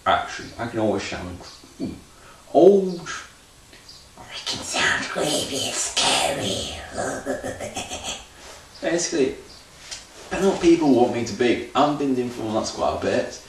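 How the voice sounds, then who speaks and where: A man's voice: mid-range voice, expressive, a little slow, long pauses. A man talking off the cuff in an unscripted audition tape, rambling with loose grammar and restarts. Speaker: auditioning actor. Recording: very echoey room, far from mic, faint noise.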